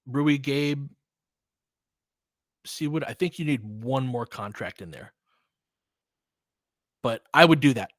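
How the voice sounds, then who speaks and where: A man's voice: mid-range voice, expressive, slow, pauses often, no room sound. Guy doing casual hoops play-by-play and chatting w fans, clipped calls, close dry sound. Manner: informal, conversational, clipped phrases on plays. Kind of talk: casual basketball commentary mixed with chat replies.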